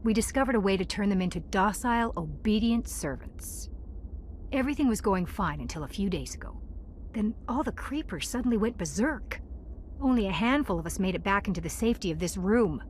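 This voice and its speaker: A woman's voice: mid-range voice, lively intonation, brisk, a little hiss or hum, natural voice. A woman playing a guilty, self-serving scientist in a video game, reasoning hesitantly and persuasively. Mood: uneasy explaining.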